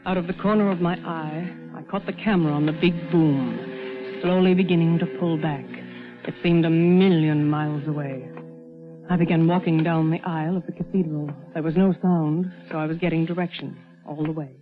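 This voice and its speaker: An actress playing a tense woman who narrates her part in a murder plot, in deliberate 1940s radio suspense style. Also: deep voice, fairly even intonation, natural voice. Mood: urgent, dread. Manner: dramatic, deliberate, suspenseful delivery. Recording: muffled vintage radio recording, loud music behind.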